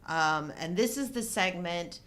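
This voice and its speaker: A woman's voice: lowish voice, animated, measured pace, fairly bright tone, slight background noise. A middle-aged woman co-hosting a live show in casual, playful, agreeable banter.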